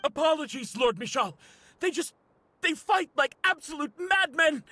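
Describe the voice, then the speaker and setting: A man's voice: very high-pitched, lively intonation, moderate pace, dry recording, heavily acted. An actor playing a loyal male cultist soldier who talks urgently and frantically. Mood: frantic. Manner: urgent, shouting over battle. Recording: loud music and battle crashes behind.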